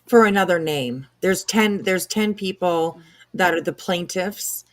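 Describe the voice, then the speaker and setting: A woman co-hosting a casual commentary livestream, explaining things in a halting, rambling way. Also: on the low side. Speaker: livestream co-host.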